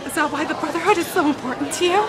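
A woman voicing a gentle but indignant medieval lady from a romance novel, in an earnest, melodramatic manner. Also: very high-pitched, rapid-fire, pitched above the performer's own voice. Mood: questioning. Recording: busy public space with loud crowd murmur.

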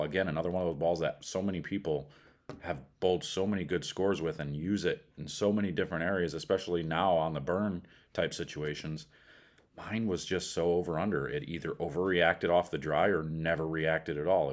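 A middle-aged man with an American accent gives a casual product review in a blunt, colloquial way. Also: deep voice. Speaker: bowling ball reviewer.

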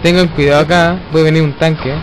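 A Chilean man chatting excitably and profanely in slang while playing a co-op video game. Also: a little high, fast talker, very noisy. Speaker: video gamer. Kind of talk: casual co-op gaming banter. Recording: loud game music and sound underneath. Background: video game soundtrack.

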